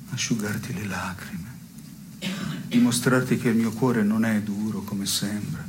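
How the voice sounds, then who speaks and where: An actor playing a melancholy, self-ironic man in a radio theatre piece, speaking in a hushed, deliberate, literary way. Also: lowish voice, close to an everyday voice. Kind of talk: avant-garde radio theatre dialogue. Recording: noisy recording with chirping cricket sounds.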